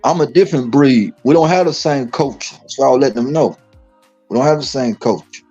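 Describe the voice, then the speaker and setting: A man from Dallas speaks with a husky, mellow voice. He tells his stories emphatically, circling back and repeating phrases with lots of restarts. The stream audio is dull and lo-fi. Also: medium pitch, fairly quick, faint music behind. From Dallas, Texas. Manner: repetitive, emphatic storytelling with many restarts. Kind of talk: casual live-stream chat.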